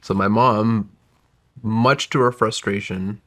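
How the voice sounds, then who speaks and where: A man's voice: lowish voice, steady tone, slow, fairly bright tone. A man in his forties whose first language was Gujarati, telling his life story as a podcast guest in a reflective, winding way that often corrects itself. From United States. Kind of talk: casual interview storytelling.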